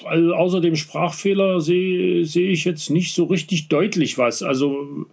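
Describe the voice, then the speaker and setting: A man with a slight Saxon accent talks in relaxed conversation, his voice a little high and gravelly with fry. He halts often, restarting phrases and filling gaps with hesitations. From Saxony, Vogtland. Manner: halting, many restarts and fillers. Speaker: interviewee. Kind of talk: casual conversation.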